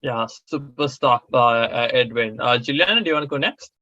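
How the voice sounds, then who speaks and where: A young man hosts a casual podcast chat in a bright, forceful voice that sits at an ordinary pitch. The sound is dry and a little dull. He stumbles over fillers and restarts as he introduces his guests. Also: a little fast, dry recording. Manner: hesitant, many fillers and restarts. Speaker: podcast host.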